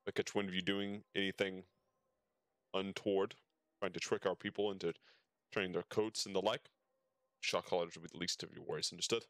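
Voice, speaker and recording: A man's voice: low-pitched, level tone, a little fast, long pauses, fairly bright tone, no room sound, clean studio sound. A man reading out game dialogue as a stern, suspicious imperial guard. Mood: stern, warning.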